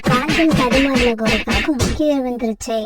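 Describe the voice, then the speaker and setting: A woman's voice: sits high, normal speed, distant mic, soft background music. A woman storyteller giving scripted narration of a children's story.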